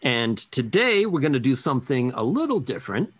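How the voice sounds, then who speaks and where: A middle-aged man hosting a rock podcast, introducing his guest in a deliberate, measured way. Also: medium pitch, big pitch swings, slightly rough. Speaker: podcast host.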